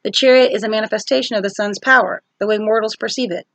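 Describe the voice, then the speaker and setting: A woman's voice: medium pitch, fairly even intonation, quick pace, gravelly, fairly bright tone, no echo. Woman voicing a breezy, cocky teenage sun god. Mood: cheerful, explaining.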